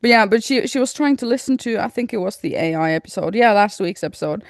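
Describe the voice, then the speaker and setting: A woman's voice: medium pitch, animated, fast, no echo, studio quality. Casual, giggly woman podcast host. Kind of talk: casual chat.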